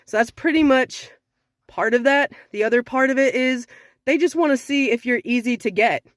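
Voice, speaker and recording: A young woman with a slightly raspy voice from sinus trouble, giving frank dating advice in a casual talk to camera. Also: high-pitched, moderate pace, no room sound, clean studio sound. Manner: frank, conversational, sassy.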